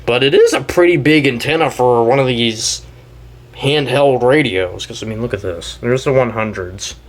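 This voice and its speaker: A man hosting a casual show-and-tell, talking in a rambling, halting way and often trailing off mid-thought. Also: medium pitch. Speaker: video host and weather radio collector.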